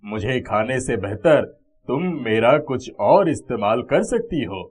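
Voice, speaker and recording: A man plays a magical talking fruit in a cartoon tale. The male voice has a middling pitch. It speaks reassuringly, as a kindly, all-knowing helper. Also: lively intonation, a little slow, darkish tone, breathy edge. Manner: reassuring, helpful. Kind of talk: Hindi moral story cartoon dialogue.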